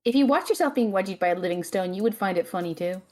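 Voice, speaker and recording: A woman playing a stern, stressed young female magic student in an audio drama, with precise, deadpan, teasing delivery. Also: medium pitch, fast, close to an everyday voice. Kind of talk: audio drama acting, dry banter.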